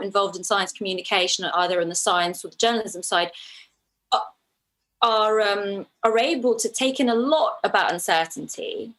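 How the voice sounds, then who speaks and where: A woman's voice: mid-range voice, fairly bright tone, low-quality recording. A woman from Ukraine speaking as an interview guest, a documentary filmmaker who answers conversationally and thoughtfully, often restarting her phrases.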